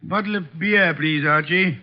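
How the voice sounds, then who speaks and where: An actor playing a pompous, imperious, brilliant large detective in a theatrical old radio style. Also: male voice, on the high side, unhurried, a little hiss or hum, lightly acted. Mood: smug, musing. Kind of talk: 1940s-50s radio acting. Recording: muffled old radio recording.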